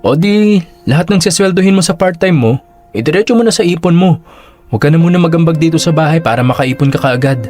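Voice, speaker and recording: A man's voice: on the high side, fast, a little mellow, soft background music, lightly acted. A man playing a pushy, practical younger brother. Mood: coaxing. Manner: persistent, coaxing, practical. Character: younger brother working abroad in a call center. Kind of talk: radio drama acting.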